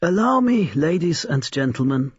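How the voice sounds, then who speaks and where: An eager, proud old toymaker from a storybook, acted by a man. He speaks in a hearty, excitable way, pitched up and swooping, with a husky edge. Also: moderate pace, full character voice. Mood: proud, ceremonious. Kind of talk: storybook character reading. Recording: roomy, slightly dull recording.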